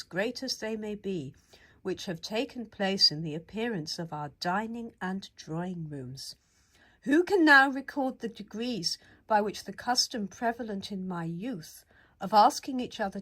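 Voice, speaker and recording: A woman with a medium-pitched voice reads literary non-fiction aloud. Her delivery is measured and formal, in the style of audiobook narration of a memoir. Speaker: audiobook reader.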